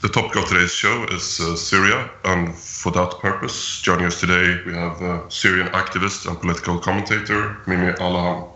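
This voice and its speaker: A man hosting a radio talk show, asking questions in a halting way with many pauses and restarts. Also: deep voice, fairly even intonation, sharp tone, roomy sound. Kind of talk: hesitant interview questions.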